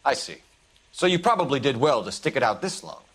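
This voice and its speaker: An actor playing a stern, righteous 1940s American police detective in a video game, with clipped, accusing questions. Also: male voice, mid-range voice, very animated, a little fast, fairly bright tone, slightly rough. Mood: probing, skeptical. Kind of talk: dramatic video game acting.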